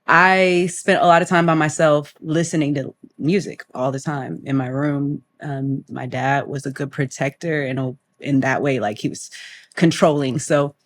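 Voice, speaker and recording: Chatty, lowish-voiced young woman guest. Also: steady tone, normal speed. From Florida. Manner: chatty, laughs often, rambling. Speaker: guest singer. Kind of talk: casual interview chat.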